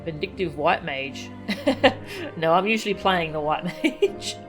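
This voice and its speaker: A woman's voice: on the low side, animated, a little slow. A woman chatting casually as she streams a game, commenting on what happens as she plays. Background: game music.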